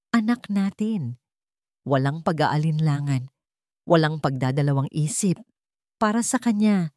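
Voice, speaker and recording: A woman's voice: fairly low pitch, mellow, no echo, clean studio sound. A woman narrating a dramatic love story in an emotive storytelling manner.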